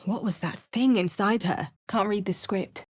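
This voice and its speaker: A woman voicing a wry, watchful female adventurer for a video game. She makes understated remarks and narrates events calmly, like a storybook. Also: medium pitch, a little slow, breathy edge, no echo, studio quality. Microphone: close studio mic.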